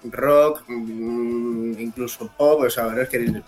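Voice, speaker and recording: A male author guest on a radio program, from Salamanca, Spain. His voice is slightly hoarse from a cold, and he talks in a relaxed, easygoing way at a middle pitch. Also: slow pace, soft background music, poor audio. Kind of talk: casual radio interview.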